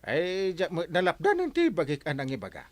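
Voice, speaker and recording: A man's voice: high-pitched, huge pitch swings, fairly quick. An actor with an Ilocano accent playing a gossipy, disapproving village man in an audio drama.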